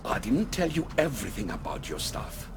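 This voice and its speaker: A man playing a weary, solemn ruler of a doomed ancient city in a video game, speaking in a grave, measured, formal and theatrical way. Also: fairly low pitch, wildly swooping pitch, noisy background. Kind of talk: dramatic video game voice acting. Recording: loud music under the voice.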